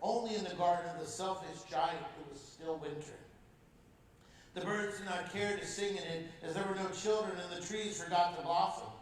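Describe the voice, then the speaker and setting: A man's voice: high voice, steady tone, normal speed, a little hiss or hum. A man reading a storybook aloud to a church congregation as guest speaker, telling it expressively and without hurry. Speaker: guest speaker at a parish mission. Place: large church. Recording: echoey church heard from far off.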